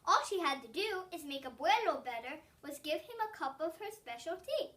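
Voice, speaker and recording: A schoolgirl reads as an eager, helpful storybook farm boy, cheerful and emphatic. The voice is high and slightly away from the microphone. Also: sing-song, normal speed, a bit bright, far from the mic. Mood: friendly, helpful.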